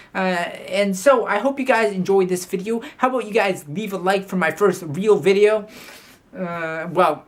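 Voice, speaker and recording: Woman, YouTube commentator, comedic commentary, ironic mock praise, stammering restarts, middle pitch.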